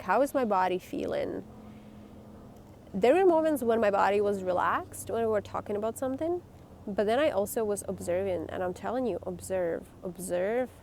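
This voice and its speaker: Blunt woman with a gravelly voice. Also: medium pitch, darkish tone, slight background noise. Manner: blunt, emphatic and swearing. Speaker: vlogger. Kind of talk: candid talk to camera.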